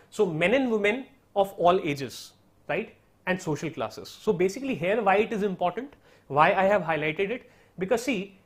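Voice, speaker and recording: A man with an Indian English accent, a native Hindi speaker, teaching in an explanatory, step-by-step way. His voice sits a little higher than most men's, and he keeps checking in with "right?" as he goes. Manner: explanatory, repeats "right?" and "so therefore", mixes in Hindi. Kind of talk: online lesson on study strategy.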